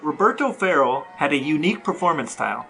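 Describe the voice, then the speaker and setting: A man co-hosting a conversational podcast, speaking in a deadpan, mock-serious way. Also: a little high, quick pace, gravelly, faint music behind, poor audio. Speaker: podcast co-host. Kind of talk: conversational podcast talk and interviewing.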